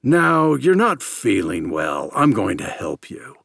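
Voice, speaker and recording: A man's voice: mid-range voice, very animated, takes it slowly, creaky, a bit bright, no room sound, clean studio sound, heavily acted. A man voicing an evasive, controlling captor in an audiobook, placating and patronizing. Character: evasive, controlling male captor, coolly placating, secretly menacing.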